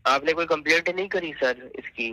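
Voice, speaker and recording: Male office complaint handler on a phone call, piercingly bright tone, somewhat high pitch, courteous and by-the-book. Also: normal speed. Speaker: complaint-line agent at an office. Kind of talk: customer service phone call.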